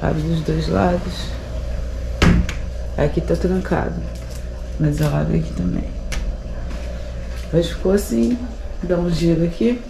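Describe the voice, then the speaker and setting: A Brazilian woman in her thirties, hoarse from a sore throat, chatting to viewers from her phone at arm's length inside a house under renovation. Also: fairly low pitch, slow pace, pauses often. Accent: Brazilian Portuguese. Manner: chatty, addresses viewers often. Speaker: vlogger. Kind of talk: casual home vlog. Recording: echoey room with clear background noise.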